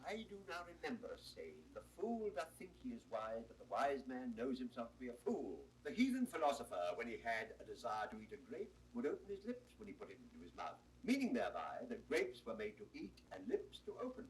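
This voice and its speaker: Swooping, pompous court jester. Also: male voice, mid-range voice, wildly swooping pitch, a little fast, hardly any pauses. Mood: mock-learned. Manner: grandiose, mock-learned, patronising. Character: witty, pompous male court jester, mocking and wordy. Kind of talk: 1960s recorded classical theatre acting. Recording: distant, faintly noisy 1960s recording.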